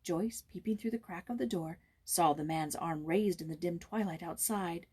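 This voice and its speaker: Female audiobook reader, slightly husky tone, expressive and theatrical storytelling delivery. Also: on the low side, moderate pace. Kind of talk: dramatic scripted storybook narration.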